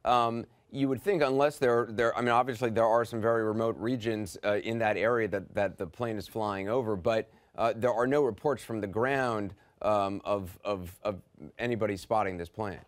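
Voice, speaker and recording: A man's voice: on the low side, steady tone, moderate pace, few pauses, no room sound. Probing male news anchor, dry studio sound. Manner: probing, conversational questions with restarts. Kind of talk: news interview.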